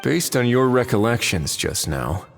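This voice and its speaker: An actor playing a calm, methodical investigator in a video game, speaking in a measured, polite, analytical way. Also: male voice, mid-range voice, creaky, in a large hall, faint music behind. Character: calm, methodical male investigator reasoning aloud.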